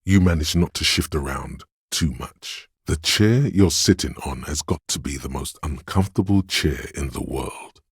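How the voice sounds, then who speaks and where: Super low husky inner voice of pain, grim n brooding, slow heavy game narration. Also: male voice, no echo, studio quality, full character voice. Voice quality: very deep, gravelly, husky. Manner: slow, deliberate, weighty, intense delivery. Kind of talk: dramatic video game narration.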